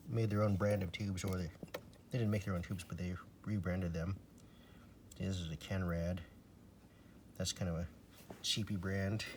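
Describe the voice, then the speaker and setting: Low-voiced man explaining casually, noisy recording. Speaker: radio repairer demonstrating. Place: indoors at a table. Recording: clear background noise, handling clicks.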